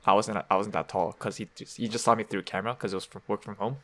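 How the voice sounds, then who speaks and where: A man's voice: on the low side, steady tone, quick pace. A young man co-hosting a podcast, talking casually in rambling bursts that trail off. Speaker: podcast co-host. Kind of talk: casual chat.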